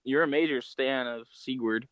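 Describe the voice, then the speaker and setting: A man's voice: mid-range voice, moderate pace, over a noisy crowd. A man co-hosting a podcast, talking in an informal, slangy way. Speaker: podcast co-host. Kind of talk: casual chat.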